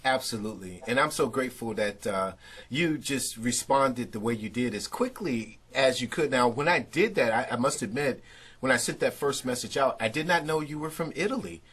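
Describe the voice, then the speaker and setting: A man's voice: medium pitch, animated, moderate pace, fairly bright tone, husky. A man hosting an online interview over a video call, talking in an enthusiastic, effusive way. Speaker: interview host.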